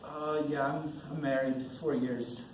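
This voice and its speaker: A man's voice: medium pitch, fairly even intonation, measured pace, natural voice. A teenage boy playing an earnest, reassuring army captain in an amateur stage play. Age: teens. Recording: echoey, noisy stage recording.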